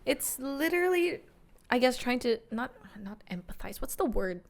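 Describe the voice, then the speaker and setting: A woman's voice: sits high, very animated, normal speed, vocal fry. A woman in her twenties from the Philippines, chatting casually as a podcast co-host and often searching for words. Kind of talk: casual chat.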